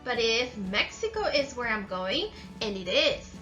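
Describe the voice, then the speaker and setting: A woman's voice: fairly high pitch, sing-song, measured pace, bright, forceful tone, dull audio, soft background music, exaggerated voice. A woman voicing a tiny, determined young female caterpillar in a storybook, in an eager, repetitive sing-song. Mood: cheerful, resolute.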